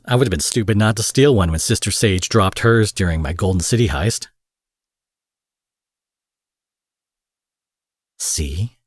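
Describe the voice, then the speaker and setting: Husky, low-voiced cocky young supervillain, acted by a man. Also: no echo, clean studio sound. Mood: boastful, teasing. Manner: sweary, mocking, drawling pet names. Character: cocky, foul-mouthed young male supervillain captor, possessive and taunting. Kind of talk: dramatic roleplay monologue addressed to the listener.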